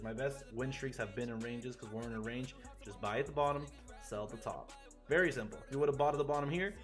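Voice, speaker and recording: A man from Chile, a forex trader chatting casually and excitably with his live viewers, swearing freely. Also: medium pitch. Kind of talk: casual livestream commentary. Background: faint music.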